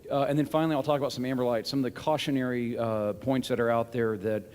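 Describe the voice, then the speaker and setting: A man's voice: medium pitch, level tone, quick pace, a little mellow, clean tone. A man giving a conference keynote speech in a folksy, self-deprecating way, with many fillers and restarts. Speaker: keynote speaker. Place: large conference hall. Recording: echoey hall with long reverb.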